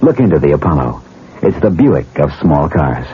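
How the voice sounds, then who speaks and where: Man reading a 1970s radio ad, raspy low voice, tinny old recording. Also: big pitch swings, moderate pace. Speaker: announcer.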